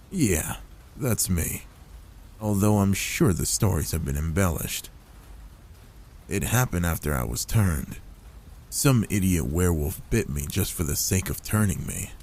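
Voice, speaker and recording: A man voicing an older werewolf, a gruff but gentle protective loner, in a soft, intimate ASMR roleplay with a soothing, fatherly manner. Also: deep voice, slight background noise. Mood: wry, guarded. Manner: soothing, paternal, unhurried reassurance.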